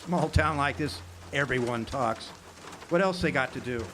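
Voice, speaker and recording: An actor playing a world-weary drifter, narrating a hardboiled radio drama in a wry, hesitant way. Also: male voice, medium pitch, moderate pace, natural voice. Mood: challenging. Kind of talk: noir-style radio drama narration and dialogue. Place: outdoor amphitheater stage. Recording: noisy outdoor live recording with birdsong.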